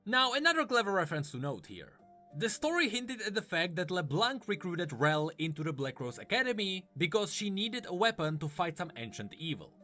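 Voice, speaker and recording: A man's voice: high-pitched, very animated, a little fast, bright, forceful tone, soft background music. A man giving scripted explanatory commentary in the style of a video narrator. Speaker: lore explainer.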